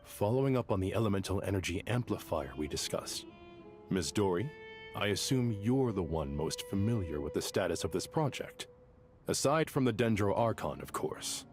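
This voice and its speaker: A fairly low-voiced male official in a video game, courteous and composed. He organizes with quiet authority over faint music. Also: expressive, moderate pace. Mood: composed, organizing.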